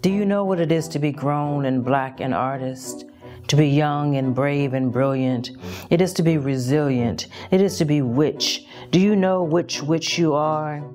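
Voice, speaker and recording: Low-voiced, measured woman from California. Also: darkish tone, faint music behind. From Long Beach, California. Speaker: spoken word poet. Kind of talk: documentary interview and poetry.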